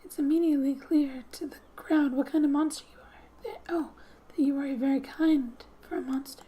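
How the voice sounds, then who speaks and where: A woman speaking softly and breathily, often near a whisper, as she gently reads game text aloud for an ASMR gaming video. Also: high-pitched, fairly even intonation, normal speed.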